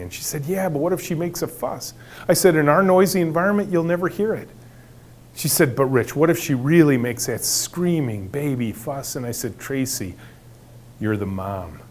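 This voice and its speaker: A middle-aged man with a big booming voice, telling workplace stories to an audience and acting out the dialogue with animation. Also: a little hiss or hum. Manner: animated storyteller acting out dialogue, some restarts. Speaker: company leader giving a talk. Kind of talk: storytelling talk to an audience.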